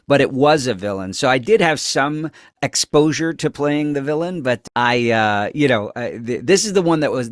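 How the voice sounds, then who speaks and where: A man with a youthful sound gives a casual interview as a voice actor. He rambles and halts in a mid-pitched voice, recorded very dry. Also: dry recording. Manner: rambling, halting.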